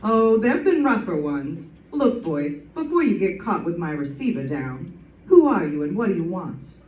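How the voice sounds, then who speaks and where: A woman's voice: on the low side, very animated, normal speed. Female private eye, acted by a woman, vintage radio drama, breezy, flirtatious wisecracks, roomy stage sound. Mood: wry, teasing. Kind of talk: 1940s radio detective acting. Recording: roomy distant stage pickup with loud music.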